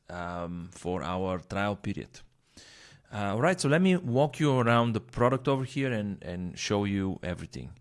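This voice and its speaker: A man giving an informal, explanatory walkthrough of a software product, often checking in with a quick "right?". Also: medium pitch. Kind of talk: walkthrough software demo narration.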